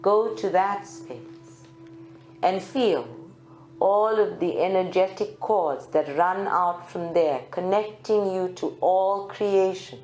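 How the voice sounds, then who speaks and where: A woman guides a spiritual meditation in a lowish voice. She speaks very slowly, placing short phrases deliberately and leaving long pauses between them. The live recording is echoey, with soft music faintly behind her. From South Africa. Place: group meditation room at a centre.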